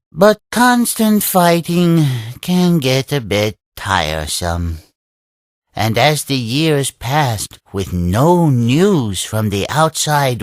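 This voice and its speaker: An actor playing a wise old creature leader in a video game, telling a tale in a solemn, deliberate, sage-like way. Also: male voice, mid-range voice, no room sound, studio quality. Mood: wistful, awed. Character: wise old male Oni leader creature, serene storyteller. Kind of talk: scripted storybook narration for a game cutscene.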